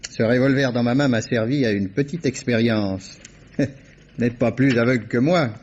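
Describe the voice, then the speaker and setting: A male actor plays a coolly menacing investigator with a husky voice of medium pitch. He presses calmly, in vintage radio drama style. The recording is dull. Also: normal speed, natural voice. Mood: coolly insistent. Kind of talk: old French radio mystery acting. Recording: muffled old radio recording.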